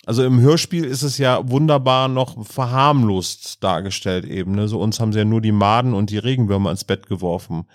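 A man's voice: on the low side, a little fast, few pauses, no echo, clean studio sound. A man co-hosting a casual podcast chat, hedging his points with lots of fillers and tag questions. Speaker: podcast co-host.

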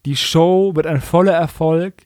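A man's voice: on the high side, fairly even intonation, a little slow, darkish tone, no room sound, clean studio sound. A man co-hosting a casual comedic recap podcast, slangy and mockingly acting out quoted dialogue. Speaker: podcast co-host.

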